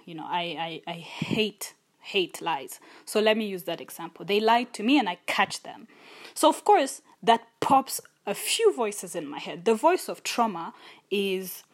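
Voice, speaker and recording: A woman's voice: mid-range voice, lively intonation, measured pace. A young adult woman hosting a solo podcast, talking in an earnest, thoughtful and openly personal way. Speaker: podcast host. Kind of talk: reflective solo podcast talk.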